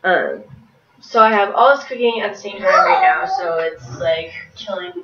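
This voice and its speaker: A woman's voice: medium pitch, expressive, moderate pace, very noisy. A woman in her thirties casually chatting through meal prep tips in her home kitchen, rambling with lots of ums, getting over a cold, recorded by a camera across the room. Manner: rambling, many ums, moves around while talking. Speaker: fitness vlogger. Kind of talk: casual how-to chat. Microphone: far camera. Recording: roomy, distant, dull camera audio.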